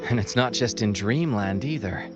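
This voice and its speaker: A man voicing a game character, a troupe member who explains things in a measured way. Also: on the low side, lively intonation, over music, natural voice. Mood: serious, concerned. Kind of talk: scripted game dialogue.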